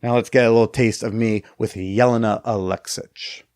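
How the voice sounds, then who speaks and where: A man's voice: lowish voice, fairly even intonation, moderate pace, darkish tone. Playful young male podcast host. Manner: curious, playful questioning. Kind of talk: casual conversational interview.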